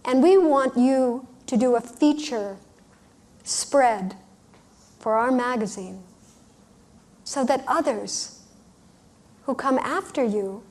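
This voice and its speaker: Female guided-visualization leader, very slow delivery, slightly high pitch, gentle and soothing, short phrases with pauses. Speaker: workshop leader guiding a visualization. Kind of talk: guided meditation, unhurried storytelling instruction.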